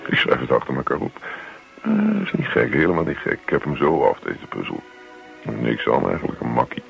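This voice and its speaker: A man acting a contented husband in a radio play, musing slowly as if talking to himself. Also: a bass voice, expressive, gravelly, soft background music, full character voice. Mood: self-satisfied. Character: contented husband, Henk, idly puzzling and muttering to himself. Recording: dull, lo-fi old radio recording.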